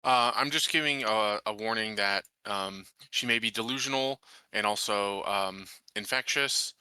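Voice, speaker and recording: Low, very bright-voiced man gaming. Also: measured pace, clear, resonant tone, dry recording. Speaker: tabletop game player. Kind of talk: casual game chat.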